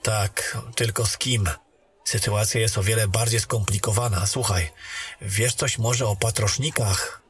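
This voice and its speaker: A man voicing a weary warrior back from a mission in a fantasy audio drama, speaking in a calm, matter-of-fact way. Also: on the low side, a little slow, a little hiss or hum, close to an everyday voice.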